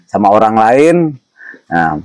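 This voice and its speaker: A man's voice: lowish voice, very animated, takes it slowly, darkish tone, smooth, dry recording. A man, explaining folk remedies casually as an interview guest, repeating himself and often checking in with short tags. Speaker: interview guest sharing traditional herbal remedies.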